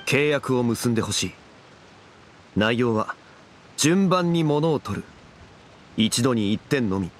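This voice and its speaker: An actor playing a calm, learned, dignified man who speaks in a measured, formal way in a game. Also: medium pitch, a little hiss or hum. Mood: composed. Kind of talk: game voice acting, expository dialogue.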